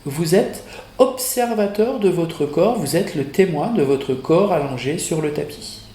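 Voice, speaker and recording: A man with a fairly high voice guides a relaxation session very slowly. He gives short, measured instructions with pauses between them. The recording is roomy and echoing. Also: expressive, dark tone. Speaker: yoga nidra instructor. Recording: roomy echo, music behind the voice.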